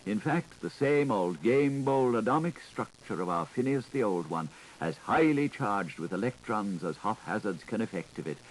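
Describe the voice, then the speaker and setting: A man reading a literary audiobook in a theatrical, deliberate, declaiming manner, on a 1980s recording. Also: lowish voice, very animated, slight background noise, poor audio. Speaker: audiobook reader.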